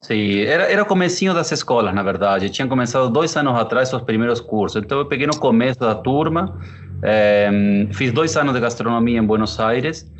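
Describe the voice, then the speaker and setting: A man in his thirties from Argentina, a chef, answering interview questions with an Argentine Spanish accent, unhurried and thoughtful with frequent fillers. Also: mid-range voice, lively intonation, slight background noise. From Córdoba, Argentina. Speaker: chef and pizzeria partner.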